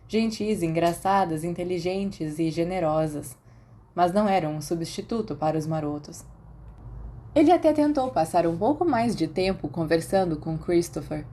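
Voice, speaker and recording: A woman's voice: on the low side, mellow, a little hiss or hum. A woman with a Brazilian accent reading scripted fiction as an audiobook narrator. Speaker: podfic narrator.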